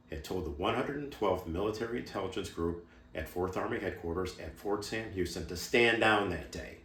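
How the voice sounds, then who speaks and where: In a roomy, echoing space, a man plays a shadowy former military intelligence officer in a film-style monologue. The voice is low, and he confides what he knows in measured, clipped phrases with cynical emphasis. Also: a little hiss or hum. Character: shadowy ex-military intelligence insider, guarded, cynical, revealing secrets. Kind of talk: dramatic film monologue performance. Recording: roomy, echoing room sound.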